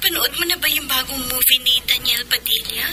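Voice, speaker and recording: An actress playing a sad young woman who misses her former boyfriend in a radio drama, soft and hesitant, with a Filipino accent. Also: high voice, a little fast, natural voice. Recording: loud music over the voice.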